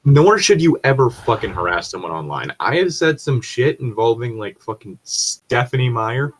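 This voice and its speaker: A man's voice: medium pitch, very animated, normal speed, a little hiss or hum, low-quality recording. A man in casual, opinionated conversation, talking bluntly and swearing heavily.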